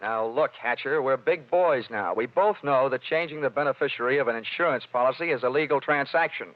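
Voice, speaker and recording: An old, muffled radio recording carries an actor as a tough American male private investigator. He talks in a clipped, wisecracking way at a medium pitch. The style is hard-boiled 1940s radio drama, dry and sardonic. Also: a little fast, dry recording, natural voice. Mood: pressing, hard.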